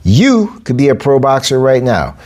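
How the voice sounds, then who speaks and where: A man, talking through a casual solo podcast. He sounds like a coach laying things out plainly, repeating phrases and leaping up in pitch to stress a point. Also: wildly swooping pitch, normal speed, darkish tone. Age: 40s. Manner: emphatic, repeats phrases, big pitch jumps for emphasis. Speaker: podcast host, boxing and capoeira coach.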